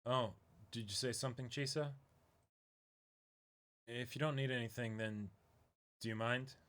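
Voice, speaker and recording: A man's voice: medium pitch, steady tone, a little slow, a bit bright, natural voice. A man voicing a cold, dismissive middle-aged mother in a manga reading, curt and indifferent.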